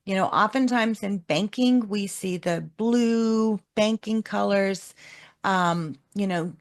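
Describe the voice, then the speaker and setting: Woman, webinar co-host, calm, measured, gravelly edge, instructional. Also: mid-range voice. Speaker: webinar co-host, leadership coach.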